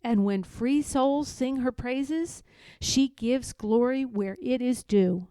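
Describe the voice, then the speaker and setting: Slow, mellow woman reading a story. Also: on the high side, clean tone, no room sound. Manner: measured, deliberate storytelling. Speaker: storybook reader. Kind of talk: expressive scripted read-aloud narration.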